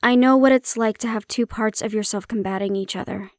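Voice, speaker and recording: A woman's voice: on the high side, brisk, darkish tone, no echo, clean studio sound, close to an everyday voice. A woman playing a prickly, guarded banshee-siren hybrid in an intimate audio roleplay, explaining herself conversationally with some teasing. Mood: guarded, explanatory.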